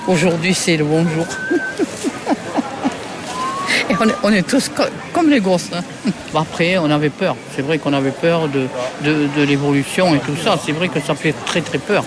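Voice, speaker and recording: A woman's voice: low-pitched, wildly swooping pitch, measured pace, pauses often. A woman in her eighties being interviewed, talking in a plain, rueful, chatty way. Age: 80s. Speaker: interviewed resident. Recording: street vox pop with crowd noise.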